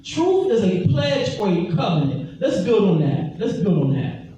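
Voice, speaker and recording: High-voiced preacher in an echoey hall. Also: male voice, sing-song, a little slow, warm tone. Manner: emphatic, repeats scripture references, call-and-response. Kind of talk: teaching sermon. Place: large echoey hall, heard from far off. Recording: echoey hall, distant mic, faint noise.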